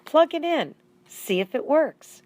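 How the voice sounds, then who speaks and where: A woman's voice: sits high, very animated, slow, a little mellow, pitched above the performer's own voice, exaggerated voice. A woman voicing a busy, plain-spoken wife and mother in a children's story, matter-of-fact and emphatic. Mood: surprised.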